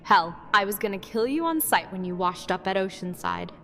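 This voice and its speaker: A woman's voice: mid-range voice, expressive, normal speed, fairly bright tone. A woman playing a blunt, tough community fighter in a fan audio drama. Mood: wry, blunt. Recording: echoey hall, faint music behind.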